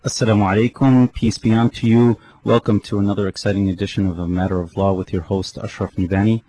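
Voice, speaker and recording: A man hosts a radio talk show in a fairly low voice. He talks in a loose, hesitant way, restarting sentences and using fillers often. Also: level tone, quick pace, warm tone, rough recording. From United States. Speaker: host.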